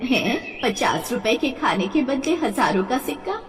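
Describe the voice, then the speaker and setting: A woman's voice: fairly high pitch, big pitch swings, moderate pace, far from the mic. A woman voicing a poor, humble old village woman who speaks plainly and affectionately in a cartoon tale. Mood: humble, kindly. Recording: echoey reverberant voice, faint noise.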